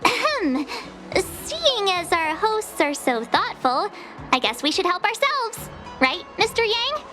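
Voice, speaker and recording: A woman playing a perky, jokey, outspoken teenage girl adventurer in a video game, informal and expressive. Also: very high-pitched, slow pace, faint music behind, full character voice. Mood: eager. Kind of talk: video game character dialogue.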